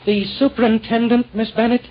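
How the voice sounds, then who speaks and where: Fussy, anxious superintendent, furtive, repetitive phrasing, vintage radio drama, dull and faintly hissy. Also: male voice, very high voice, level tone, fairly quick. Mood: obliging. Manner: fussy, repeats himself. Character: fussy, nervous male building superintendent, furtive conspirator. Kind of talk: 1940s radio acting. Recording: muffled, faintly noisy old radio recording.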